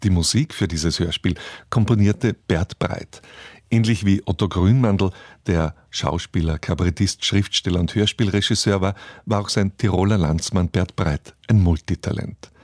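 Low-voiced man presenting on radio. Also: normal speed, a little mellow, no room sound, studio quality.

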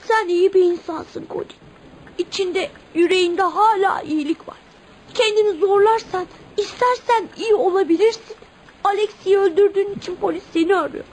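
Radio play child role: loyal, soft-hearted youngster, extremely high pitch, earnest delivery, slightly noisy recording. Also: female voice, moderate pace. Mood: earnest, pleading.

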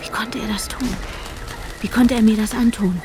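Anxious woman narrator played by an actress, higher voice, intimate inner monologue sliding into whispers, prominent music underneath. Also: steady tone, moderate pace, close to an everyday voice. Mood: anxious, brooding. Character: anxious, panic-stricken woman narrating her inner turmoil. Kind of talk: German radio drama, intimate first-person inner monologue.

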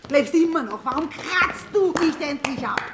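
An old woman in full feud, spiteful and furious. Her high voice swings widely as she hurls crude dialect insults, in a noisy radio play scene. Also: animated, normal speed.

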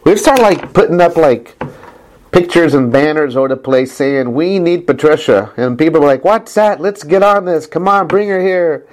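A man hosting a podcast, chatting casually with enthusiasm and frequent laughs. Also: moderate pace. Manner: enthusiastic, laughing often, with big pitch jumps. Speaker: podcast host.

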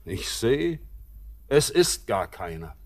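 Brooding, low-voiced seaman, slow and weighty. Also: big pitch swings, full character voice. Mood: brooding, scornful. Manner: theatrical, weighty verse declamation. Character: brooding, melancholy seaman, bitter and world-weary. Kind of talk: classic German radio drama acting.

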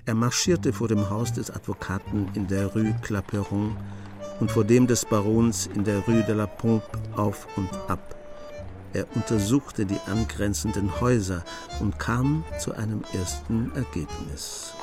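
A man's voice: lowish voice, fairly even intonation, a little slow, over music, close to an everyday voice. A man narrating a crime comedy radio play as a composed storyteller with dry, understated wit. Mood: composed, wry.

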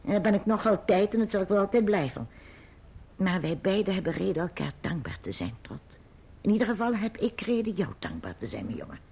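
A woman playing a grim but kindly old great-aunt in a radio play, speaking deliberately and admonishingly in a husky voice. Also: medium pitch, very animated, dark tone, full character voice. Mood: earnest, tender. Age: older. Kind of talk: Dutch radio play acting. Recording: dull, muffled radio recording.

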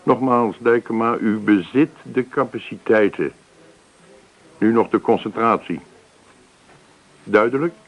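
A male actor playing a stern university professor in a radio play, formal and measured as he reproves. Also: fairly low pitch, low-quality recording. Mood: stern, reproving.

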